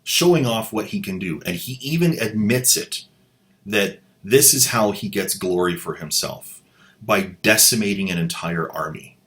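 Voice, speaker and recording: Lower-voiced man with wry commentary. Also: expressive, normal speed, fairly bright tone. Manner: wry, mocking asides. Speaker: host. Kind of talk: sarcastic commentary.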